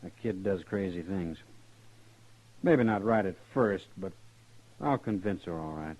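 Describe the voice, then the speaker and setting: An American actor playing a smooth drifter gunman in an old radio western, laconic and deliberate in his speech. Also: low voice, lively intonation, darkish tone, low-quality recording. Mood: earnest, subdued. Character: smooth drifter gunman posing as long-lost son. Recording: vintage radio drama recording.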